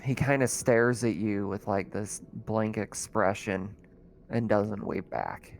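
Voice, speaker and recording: A man's voice: fairly low pitch, takes it slowly, gravelly. A man narrating a tabletop roleplaying game as game master, in a casual, conversational, improvised way. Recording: music under the voice.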